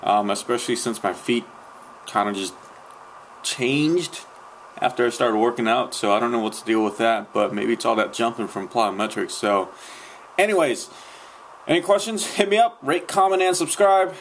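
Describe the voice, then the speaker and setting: A young man talking casually into a webcam in a small room, rambling along with plenty of ums and restarts. His voice sits at an average pitch. Faint room noise hums underneath. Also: normal speed. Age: young adult. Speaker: sneaker vlogger. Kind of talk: casual vlog talk to camera. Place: small room indoors at night. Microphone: webcam at close range.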